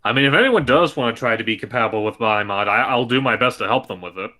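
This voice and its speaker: A man's voice: medium pitch, lively intonation, fast talker, bright, forceful tone. A man talking casually on a stream about his voice mod, thoughtful and hedging with lots of fillers. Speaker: voice modder. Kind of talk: casual group chat on a stream.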